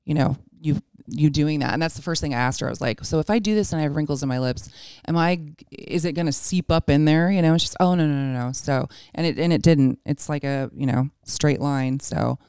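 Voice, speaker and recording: A woman's voice: deep voice, a little fast, hardly any pauses, darkish tone, clear, resonant tone, dry recording, studio quality. A woman in her forties chatting casually and candidly, with a self-deprecating way of talking. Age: 40s. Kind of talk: casual chat.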